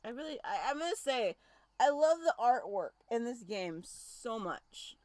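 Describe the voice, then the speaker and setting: A woman's voice: fairly high pitch, sing-song, unhurried, a little mellow, no echo. A woman streaming a game, chatting casually and reacting in an easily startled, muttering way. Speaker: streamer playing a horror visual novel. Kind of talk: casual livestream commentary and reading aloud.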